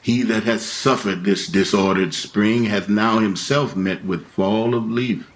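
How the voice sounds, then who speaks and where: A man playing a grave, philosophical gardener in a Shakespearean radio play, speaking sorrowful verse in a measured, solemn way. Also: on the low side, natural voice, rough recording. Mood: grave, musing.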